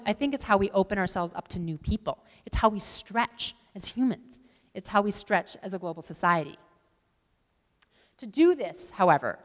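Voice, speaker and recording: Woman giving a keynote in an echoey hall. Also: medium pitch. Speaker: keynote speaker; climbing expedition leader. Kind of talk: motivational speech to an audience. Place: large auditorium stage with wooden floor. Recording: echoey hall with long reverb.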